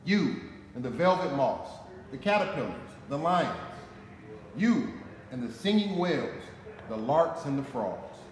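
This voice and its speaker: A man in his forties with an American accent reads a children's story aloud in a deliberate, dramatic way, with emphatic phrasing. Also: a little high, sing-song. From Detroit, United States. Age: 40s. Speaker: firefighter reading a picture book aloud. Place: concrete-floored fire station garage, indoors. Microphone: camera a short distance away. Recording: echoey hall, noticeable background noise.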